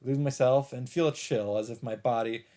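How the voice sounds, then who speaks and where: A man doing a let's play, reading a visual novel's narration aloud in a measured storytelling delivery. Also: mid-range voice, fairly even intonation, dark tone.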